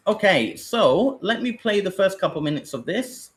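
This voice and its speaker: A man's voice: high voice, very animated, moderate pace, a bit bright. A British man leading a live online training session for teachers, explaining in a loose, rambling way.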